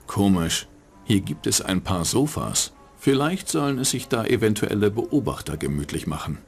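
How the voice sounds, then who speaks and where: An actor playing a cynical, hard-boiled private detective in a dubbed game, with a dry, sardonic delivery. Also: male voice, fairly low pitch, big pitch swings, moderate pace, vocal fry, soft background music. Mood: dry, wry. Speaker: narrating game protagonist.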